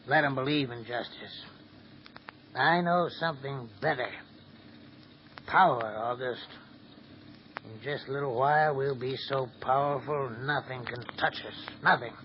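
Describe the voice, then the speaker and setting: An actor playing a scheming, gloating crime boss in a 1940s radio drama, speaking slowly and chuckling, on a dull old broadcast with faint hiss. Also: male voice, mid-range voice, close to an everyday voice. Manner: gloating, chuckling, slow and deliberate.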